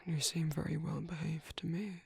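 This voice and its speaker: A man with a British accent playing a gentle, protective vampire priest in an ASMR roleplay, speaking softly and hesitantly with courteous, formal phrasing. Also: fairly high pitch, level tone, moderate pace, no echo, heavily acted. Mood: tender, earnest. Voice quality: soft, breathy, husky, often near-whispered. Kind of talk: ASMR roleplay, intimate soft-spoken monologue.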